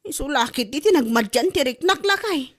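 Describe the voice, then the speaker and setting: A woman's voice: sits high, expressive, moderate pace. A woman acting as an envious, sickly village wife in an Ilocano comedy drama, whining and melodramatically aggrieved.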